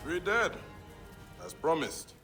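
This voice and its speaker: An actor playing a grave, determined assassin of ancient Egypt in a video game, terse and resolute. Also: male voice, fairly high pitch, sing-song, slow, pushed and bright, soft background music, full character voice. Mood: calm, concerned. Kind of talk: video game cutscene acting.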